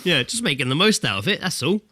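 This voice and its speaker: Bright-voiced British man, sweary podcast co-host. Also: on the high side, very animated, fast, no echo, clean studio sound. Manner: sweary, jokey. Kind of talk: casual banter over a call. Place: indoors, recording remotely over Skype. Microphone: close podcast microphone.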